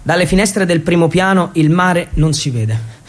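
A man performing theatrical scripted narration as a solo storytelling actor, dramatic and with deliberate pauses. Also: a little high, lively intonation.

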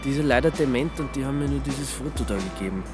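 An actor as hesitant Austrian slacker antihero. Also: male voice, medium pitch, over loud music, lightly acted. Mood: hesitant, apologetic. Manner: hesitant, halting. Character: hesitant, reluctant, hapless male slacker antihero.

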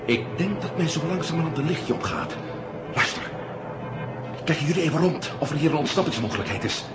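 Brisk, animated science-fiction radio hero. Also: male voice, fairly high pitch, loud music behind the voice. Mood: alert, excited. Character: determined, sharp-witted male hero astronaut, suspicious. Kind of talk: 1970s radio drama acting. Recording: faintly noisy 1970 radio play.

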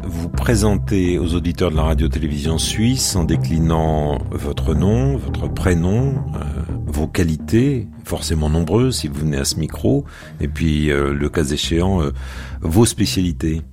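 A man with a very low voice hosts a radio history broadcast. He speaks in a measured, deliberate way, pausing often with fillers as he questions his guest. When he reads a text aloud, he keeps it grave and even. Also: music in the background. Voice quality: deep, measured, with hesitations. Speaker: radio history show host. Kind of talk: radio interview and reading.

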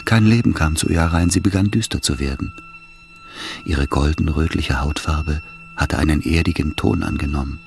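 A man narrating a literary radio drama in a measured, solemn manner. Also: low voice, huge pitch swings, vocal fry. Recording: orchestral music behind the voice.

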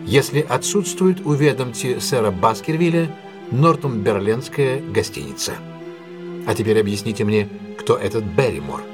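An actor playing a shrewd, self-possessed gentleman detective in an audio drama, measured and authoritative. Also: mid-range voice, lively intonation, music in the background, lightly acted. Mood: brisk, commanding.

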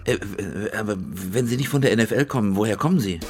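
An actor playing a harried, evasive stadium managing director, flustered and nervously jovial, in a radio crime drama. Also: male voice, on the low side, expressive, a little fast, a little mellow, breathy edge, soft background music. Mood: weary, aggrieved.

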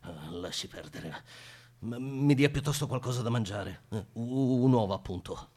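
An actor playing a bewildered, pleading man held captive, with a husky voice and stammering, flustered protests in a radio play. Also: medium pitch, animated, moderate pace, a little mellow, lightly acted. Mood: exasperated. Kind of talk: theatrical radio drama acting.

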